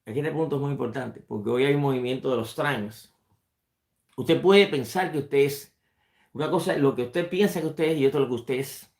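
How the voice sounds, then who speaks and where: A man's voice: mid-range voice, echoey room. A man who is a rabbi, giving an unscripted religious lesson and often stopping to repeat a word as he searches for the next one. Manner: halting, repeats words while searching for them. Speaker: rabbi teaching a study class.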